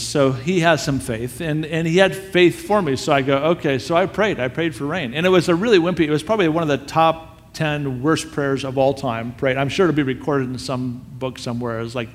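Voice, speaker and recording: A man, a missionary, telling a story in a sermon with animated, self-deprecating humor. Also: medium pitch. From United States. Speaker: missionary speaker. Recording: long hall reverb.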